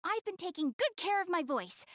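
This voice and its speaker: A woman playing a proud, self-conscious young goddess girl in an anime-style game, expressive, easily flustered and earnest. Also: extremely high pitch, normal speed, no room sound, studio quality, exaggerated voice. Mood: bright, excited.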